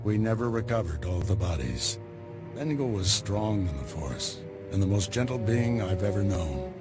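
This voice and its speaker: An actor playing a grave older Jedi master who gives orders in a measured, commanding way. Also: male voice, low voice, expressive. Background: game score music.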